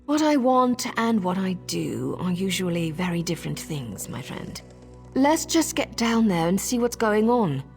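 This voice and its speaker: A woman's voice: medium pitch, very animated, unhurried, darkish tone, big-room sound, faint music behind. An actress voicing a wry, roguish adventurer woman with a sordid past in a video game, sly, teasing and conversational. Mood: wry, confiding.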